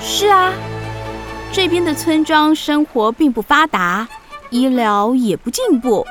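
A woman voicing a lively, kindly witch aunt in an expressive children's audio drama style. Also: sits high, slow pace, over music. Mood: warm, friendly. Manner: expressive, animated.

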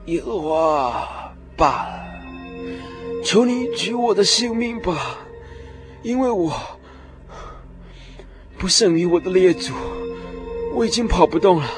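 Radio drama lead: despairing, fervent Old Testament prophet, high voice, animated swings, music underneath. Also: male voice. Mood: despairing, exhausted. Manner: impassioned, sighing, dramatic. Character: weary, despairing male prophet of ancient Israel, fervent. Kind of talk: Mandarin radio drama acting.

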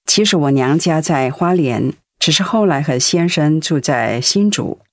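A woman's voice: low voice, animated, unhurried, dry recording, clean studio sound. A woman acting a caring, polite middle-aged pastor's wife in a conversational radio drama style. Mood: polite, reflective.

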